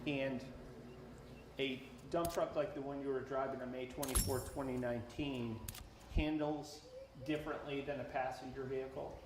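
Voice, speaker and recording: A man's voice: medium pitch, fairly even intonation, moderate pace. A man working as an attorney, methodically asking repetitive leading questions in a deposition. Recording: roomy, distant, noisy deposition recording.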